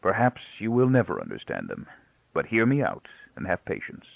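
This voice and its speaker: A man voicing a grieving, pious old rabbi and father in an audiobook, speaking quietly and haltingly with solemn, emphatic repetition. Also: low voice, huge pitch swings, creaky, dry recording, phone-quality sound, exaggerated voice.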